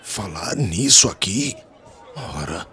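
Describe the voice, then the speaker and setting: An actor playing a proud, offended Pharisee in a dramatised audiobook, haughty and incredulous, arguing in rhetorical questions. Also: male voice, deep voice, big pitch swings, slow, pushed and bright, faint music behind, exaggerated voice. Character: proud, offended Pharisee, scornful former comrade of a convert.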